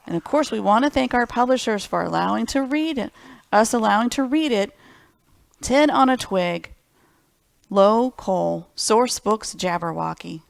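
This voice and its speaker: A woman's voice: fairly high pitch, animated, normal speed, gravelly. A woman hosting a children's library storytime, reading picture books expressively and speaking simply and directly to young listeners.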